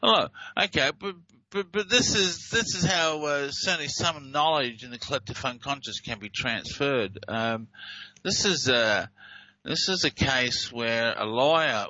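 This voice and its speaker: A man's voice: mid-range voice, unhurried, sharp tone, no room sound, lo-fi sound. A man presenting and explaining recorded clips in a casual, hesitant way, with many fillers and restarts. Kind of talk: casual explanatory talk over played clips.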